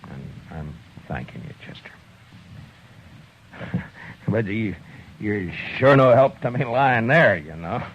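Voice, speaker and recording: An American actor playing a battered, gruff but loyal frontier lawman in a halting manner in a 1950s radio western. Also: on the low side, expressive. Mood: awkward, sincere. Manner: halting, repeats words. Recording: muffled, noisy 1950s radio recording.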